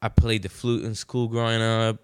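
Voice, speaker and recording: A man's voice: fairly low pitch, monotone, normal speed, a little mellow, smooth, no echo, studio quality. A man in his twenties from Venezuela, a podcast guest chatting in a slangy, rambling way. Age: 20s. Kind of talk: casual chat.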